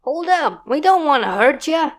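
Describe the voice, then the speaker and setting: A small, eager country filly calls out in a high, lively voice, put on by a woman reading a fan story. Her pitch leaps around with every line, and her timbre is clearly altered from the reader's own. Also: moderate pace. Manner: eager and excitable.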